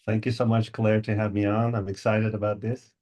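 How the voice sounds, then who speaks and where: A Dutch-accented man with a lowish, warm, mellow voice. He answers interview questions thoughtfully, filling his pauses with ums and uhs. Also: little pitch movement. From Netherlands. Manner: hesitant, many ums and uhs. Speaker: guest English teacher. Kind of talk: podcast interview.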